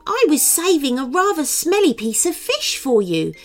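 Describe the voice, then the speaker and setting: A woman voicing a quick, sharp-tongued male street cat who sounds exasperated. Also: sits high, very animated, faint music behind, voice pushed up.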